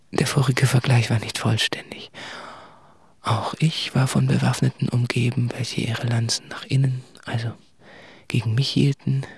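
An actor playing a tormented, introspective man who confesses in a halting, hushed, husky and creaky voice in a literary radio play. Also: mid-range voice, no echo.

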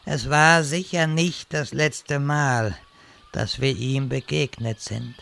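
A man playing a grave, grateful noble leader in a parody audio drama, formal and measured. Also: mid-range voice, steady tone, creaky, a bit bright.

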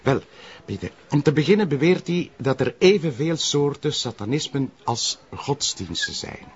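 Flemish policeman: husky medium-pitched voice, earnest explainer, naturalistic TV drama, slightly dull sound. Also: sing-song, normal speed, full character voice. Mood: earnest, explanatory. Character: earnest, talkative male police colleague explaining things. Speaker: actor. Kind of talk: naturalistic TV crime drama dialogue.

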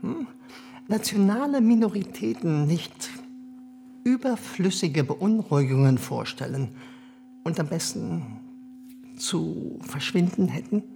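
An actor playing a cynical, condescending middle-aged German privy councillor in a radio play, with smooth talk and hesitant fillers. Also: male voice, high voice, animated, takes it slowly, pauses often, background noise, exaggerated voice.

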